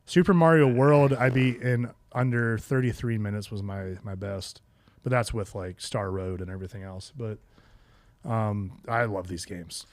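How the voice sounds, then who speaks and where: A man's voice: fairly low pitch, steady tone, normal speed, no echo. A man co-hosting a podcast in casual, emphatic chat, with some boasting and swearing. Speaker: podcast co-host. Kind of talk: casual panel chat about retro games.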